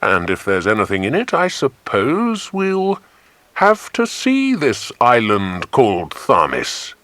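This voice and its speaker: A hearty, easygoing grown man from a children's adventure audiobook, acted out by a male narrator. His talk is avuncular and considered, with lively swings of pitch, and the sound is dry and close. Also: takes it slowly, no echo, exaggerated voice. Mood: thoughtful. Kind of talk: dramatized children's audiobook dialogue.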